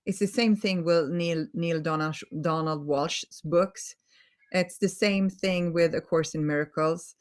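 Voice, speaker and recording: Swedish-accented woman, lower voice, unhurried live coaching talk, gentle and earnest. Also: fairly even intonation. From Sweden. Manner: gentle, earnest, repeats words for emphasis. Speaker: holistic healing coach. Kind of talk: live-stream teaching talk.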